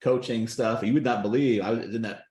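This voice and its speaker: Folksy coach, emphatic, in a roomy space. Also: male voice, mid-range voice, fairly even intonation, a little fast, darkish tone. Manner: emphatic, folksy, repeats "does that make sense". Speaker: coach hosting a group call. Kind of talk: casual coaching talk.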